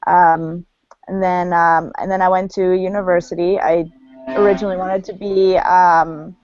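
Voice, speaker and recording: A woman's voice: on the low side, flat delivery, normal speed, darkish tone, soft background music. A woman in her twenties from Paraguay, casually introducing herself as a participant in a group course. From Paraguay, moved to North America at ten. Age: 20s. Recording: dull lo-fi audio.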